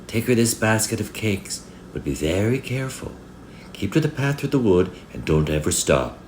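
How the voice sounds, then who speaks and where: Man putting on a caring mother, raised pitch. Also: sing-song, moderate pace, darkish tone, slight background noise. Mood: gentle warning. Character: little girl's caring, cautioning mother.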